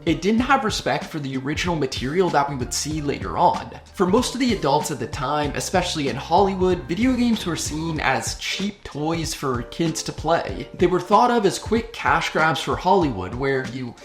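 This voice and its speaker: Video host, scripted essay narration, conversational, casual, mid pitch, music behind. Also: sing-song, normal speed, few pauses. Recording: music under the voice. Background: background music.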